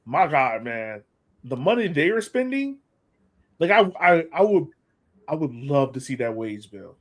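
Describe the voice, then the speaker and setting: A man's voice: a little high, very animated, measured pace. A man co-hosting a podcast, chatting casually about sports in colloquial language and repeating phrases for emphasis. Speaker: podcast co-host.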